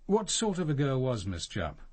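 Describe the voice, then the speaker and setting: An actor playing a composed police inspector in a radio drama, with an English accent and polite, pointed, clipped questions. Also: male voice, medium pitch, huge pitch swings, normal speed, darkish tone. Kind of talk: BBC radio drama acting.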